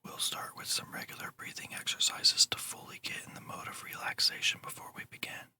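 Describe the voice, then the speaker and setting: Casting: ASMR meditation guide who whispers throughout, crisp and breathy, with slow, deliberate, soothing delivery. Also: bright, forceful tone. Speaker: ASMR channel host and meditation narrator.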